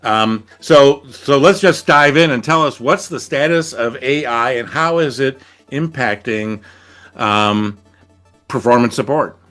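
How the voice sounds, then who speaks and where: Male podcast host, bright voice, eager earnest questions, faint music. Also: medium pitch, unhurried. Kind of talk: conversational podcast interview.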